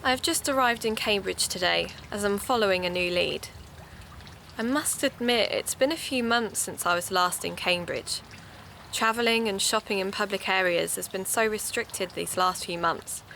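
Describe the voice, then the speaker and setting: A woman's voice: medium pitch, gravelly, pushed and bright, slight background noise. A woman playing a keen amateur investigator who hosts a podcast, speaking with a British accent in a conversational, confiding way. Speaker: podcast host and narrator. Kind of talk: audio drama acted as first-person podcast narration.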